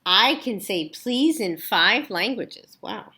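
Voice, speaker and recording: A woman teacher talks to children in a casual read-aloud style. Her mid-range voice is highly varied in intonation as she explains things simply and checks in with questions. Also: expressive, takes it slowly, pushed and bright. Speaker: elementary school teacher.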